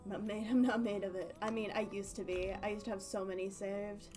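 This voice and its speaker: A woman's voice: mid-range voice, steady tone, a little fast, over music. A woman chatting casually on a game livestream in a rambling, giggly, slightly nervous way. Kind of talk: casual game stream chat.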